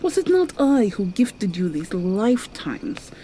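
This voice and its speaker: A woman voicing a seductive, menacing vampire mermaid villainess in an ASMR roleplay, taunting and purring with sudden high swoops. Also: sing-song, unhurried, warm tone, a little hiss or hum.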